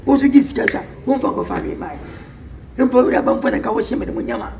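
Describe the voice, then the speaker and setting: Seorang pria tua yang memerankan kakek yang memohon soal uang, berbicara cepat dan parau. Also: male voice, high voice, lively intonation, fast talker, vocal fry, full character voice. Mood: pleading. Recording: roomy, distant, noisy.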